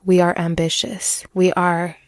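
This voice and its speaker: A woman's voice: lowish voice, little pitch movement, measured pace, dry recording. A woman in her twenties, a native French speaker, hosting a casual, candid solo podcast in a chatty, earnest, self-deprecating way into a close microphone. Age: 20s. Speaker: podcast host.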